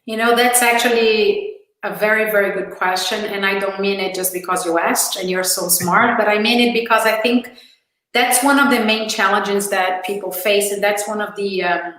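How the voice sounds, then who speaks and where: A woman's voice: mid-range voice, fairly even intonation, normal speed, a bit bright. Woman, forties, Brazilian accent, enthusiastic interview guest; very reverberant room. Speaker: guest entrepreneur. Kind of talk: interview conversation. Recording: very echoey room.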